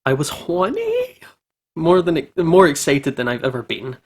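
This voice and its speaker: A man's voice: fairly high pitch, wildly swooping pitch, a little slow. Informal man hosting a visual novel playthrough. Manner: informal, jokey, stumbles when reading. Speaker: video game let's play host. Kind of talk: casual commentary and reading aloud of visual novel.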